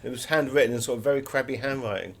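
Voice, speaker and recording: A man's voice: mid-range voice, racing. A British man speaking as an interviewed author, telling anecdotes in a wry, chatty and emphatic way.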